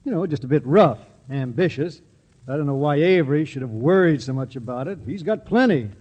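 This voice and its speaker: A man's voice: a little high, animated, fairly quick, darkish tone, dull audio. An actor playing a plain-spoken, shrewd male small-town constable in a 1940s radio drama. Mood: matter-of-fact.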